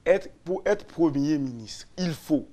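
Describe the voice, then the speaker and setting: A man, a lawyer and radio guest, explaining constitutional articles slowly, repeating and restarting phrases. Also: on the high side, animated, warm tone. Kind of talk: explaining constitutional law on a radio talk show.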